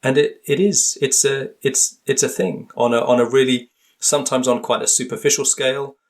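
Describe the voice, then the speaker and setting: A man's voice: mid-range voice, moderate pace. A British man speaking as a podcast guest, thoughtful and hesitant, often rephrasing as he explains. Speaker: interview guest, linguist and author. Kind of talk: conversational podcast interview.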